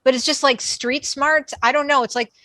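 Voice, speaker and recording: A woman hosting a podcast discussion, chatty and animated, asking the panel questions. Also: high-pitched, moderate pace, no echo. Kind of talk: casual discussion.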